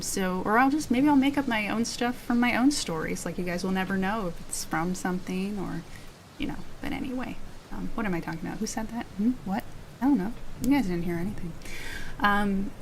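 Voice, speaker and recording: A woman streamer talking in a quiet, soft voice during a chatty ASMR stream, rambling and often breaking off mid-thought. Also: mid-range voice, creaky, poor audio. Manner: quiet voice, rambling and self-interrupting. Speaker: VTuber streamer. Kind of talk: soft-spoken ASMR chat. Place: home streaming setup with storm ambience. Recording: faint background noise of storm sounds and typing.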